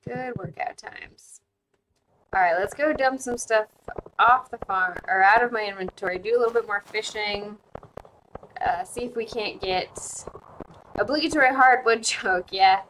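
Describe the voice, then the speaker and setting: A woman's voice: mid-range voice, a little slow, fairly bright tone, slight background noise, poor audio. Chatty American woman streaming a game. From United States. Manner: chatty, thinks aloud with fillers. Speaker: streamer and host. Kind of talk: casual chat while playing a game. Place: home, living abroad.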